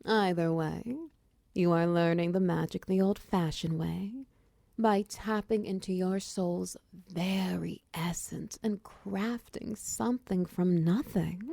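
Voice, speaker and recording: A woman acts out a friendly female minotaur wizard in an ASMR roleplay. Her voice is mellow and slightly low, with a heavy creak, and she talks in an unhurried, chatty, encouraging way like a patient mentor. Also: no echo. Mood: proud, inviting. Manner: chatty, encouraging, gently instructive.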